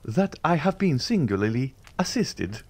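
A giddy, self-satisfied young gentleman gushing over his good luck and bragging of his own cleverness. A man reads him aloud, lifting his voice higher and livelier than his narration, with a swooping, animated lilt. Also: unhurried. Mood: boastful. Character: giddy, boastful young social climber, male.

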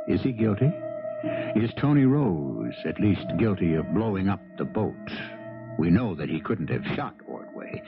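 A man hosting a 1970s radio mystery, narrating in a measured, suspenseful, dramatic way. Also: sits low, creaky. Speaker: host and narrator. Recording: dull vintage radio recording. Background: music.

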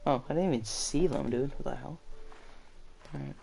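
A man with a low voice, chatting casually as he plays and reads game dialogue aloud. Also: moderate pace, vocal fry, mellow, slight background noise. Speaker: gaming streamer. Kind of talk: casual let's-play commentary. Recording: game music underneath.

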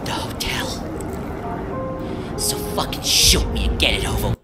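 Defiant, bitter demon, acted by a woman: raw, foul-mouthed, deliberate pacing, comic dub drama. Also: extremely low pitch, loud music behind the voice. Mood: bitter despair. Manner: swearing, raw, emotional.

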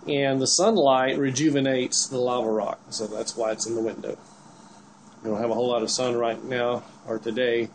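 A man's voice: mid-range voice, a little mellow, a little hiss or hum, low-quality recording. A man casually narrating a video he is filming in his home kitchen, explaining each step and laughing a little now and then. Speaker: channel host filming a demo. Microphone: handheld camera mic.

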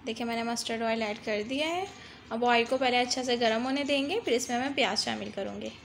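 A woman's voice: a little high, quick pace, vocal fry, fairly bright tone, slight background noise. A woman hosting a cooking channel, giving a step-by-step recipe voice-over and guiding viewers through each stage. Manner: instructive, repeats "dekhiye" to guide viewers.

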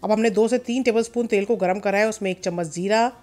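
Woman, mid-pitched, calm cooking-show voice-over with light music behind. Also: quick pace. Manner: calm step-by-step instruction, mixing in English words. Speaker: cooking channel host. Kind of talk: recipe voice-over.

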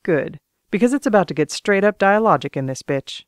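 A woman's voice: medium pitch, animated, a little fast, dry recording, studio quality, close to an everyday voice. A woman reading an audiobook as a composed, wry author character who narrates and debates. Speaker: audiobook reader.

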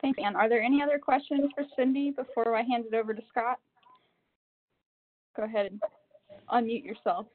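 A woman moderating an online lecture Q&A, brisk and polite. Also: on the high side, steady tone. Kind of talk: hosting online Q&A. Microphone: video call. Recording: tinny phone-like call audio.

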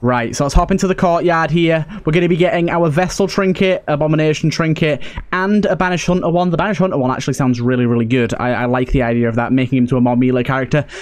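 Higher-pitched man, casual gaming commentary. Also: steady tone, fast talker, clear, resonant tone. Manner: chatty, thinking aloud. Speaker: gaming host. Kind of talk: casual let's play commentary.

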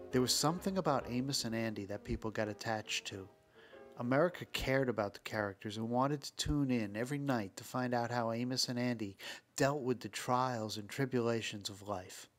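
A man delivering scripted documentary narration as the host. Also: mid-range voice. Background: music.

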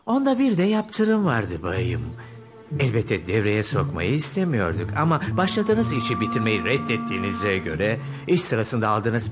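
An officious male district governor from an animated series, played by a man. He is formal and nervously polite, with a middling-pitched, highly animated delivery. Music plays strongly behind him. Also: lightly acted. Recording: music under the dialogue.

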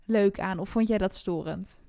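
A woman's voice: mid-range voice, normal speed, creaky, mellow, no echo, studio quality. A woman co-hosting a book podcast, talking about books in casual conversation.

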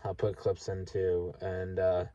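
A man of about thirty, talking frankly and haltingly in a sweary, candid personal vlog monologue. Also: sits low, monotone, mellow, no room sound, distant mic, muffled recording. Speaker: vlogger. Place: inside a parked vehicle at night.

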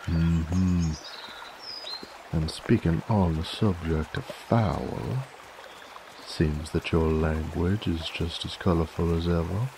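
A man's voice: low voice, vocal fry, noisy background, heavily acted. A man voicing a laid-back, teasing, dominant werewolf bounty hunter in roleplay ASMR, with a folksy, wry American Southern or Western drawl.

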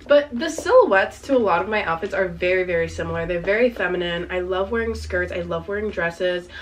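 A young woman in her twenties chatting casually as she tries on outfits in her bedroom, a little raspy from congestion, rambling with lots of fillers. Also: fairly low pitch, animated, a little fast. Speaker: fashion vlogger. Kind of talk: casual try-on chat. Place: small bedroom with backdrop, indoors. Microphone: camera mic from a few meters away. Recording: slightly distant camera mic, faint room noise.

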